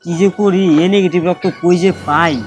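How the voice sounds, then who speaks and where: Background music, male actor as earnest anxious young man, Bangladeshi Bengali accent, quick colloquial speech, short drama. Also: high voice, fairly even intonation, lightly acted. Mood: worried. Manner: colloquial, urgent. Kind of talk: amateur short drama dialogue. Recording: background music over dialogue.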